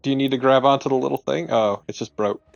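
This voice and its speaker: A man's voice: medium pitch, fairly even intonation, fast talker, creaky, no echo, dull audio. A man chatting casually as a co-commentator on a video game, with wry, slangy side remarks. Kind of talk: casual chat. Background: video game music.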